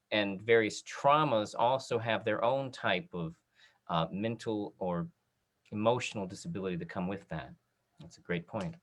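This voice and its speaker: A man moderating a panel discussion, speaking in hesitant, halting phrases. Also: fairly low pitch, fairly bright tone. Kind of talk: hosting a talk-back discussion. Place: virtual video call.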